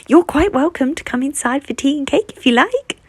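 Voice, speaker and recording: A woman's voice: high-pitched, expressive, a little fast, darkish tone, no echo, pitched above the performer's own voice. A woman voicing a polite, cheerful female goose who talks in a kindly, sing-song way. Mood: friendly.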